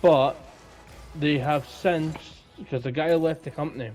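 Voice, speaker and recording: A man's voice: fairly high pitch, steady tone, unhurried, darkish tone, faint music behind. A man co-hosting a podcast in casual, informal conversation. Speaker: podcast co-host.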